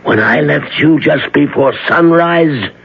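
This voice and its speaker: A man's voice: on the high side, slow, vocal fry, husky, exaggerated voice. An actor playing a frightened, shaken man who took part in witchcraft, in an old radio drama. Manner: shaken, urgent, hushed. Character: frightened male accomplice in witchcraft. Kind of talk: 1970s radio drama acting. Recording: dull, muffled old radio broadcast.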